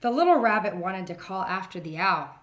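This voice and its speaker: A woman reading a children's picture book aloud in a gentle storytelling manner, sometimes dropping to a whisper. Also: mid-range voice, expressive, normal speed, background noise. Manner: gentle storytelling, drops to whispers for the quiet parts.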